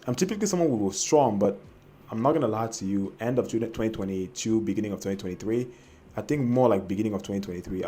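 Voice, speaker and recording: A man's voice: sits low, dark tone, a little hiss or hum. A young man from Cameroon telling his personal trading story to camera, hesitant and full of fillers and restarts. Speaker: trading vlogger.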